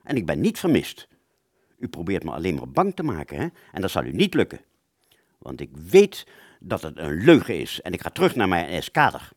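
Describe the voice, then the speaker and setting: A man acts out a wounded airman in a radio drama, confused and anxious. His speech stumbles and halts, with pitch that moves restlessly up and down. The sound is very dry, with no room around it. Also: wildly swooping pitch, dry recording, exaggerated voice. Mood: agitated defiant. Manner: agitated, stammering, halting. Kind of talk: Dutch radio drama, first-person narration and dialogue.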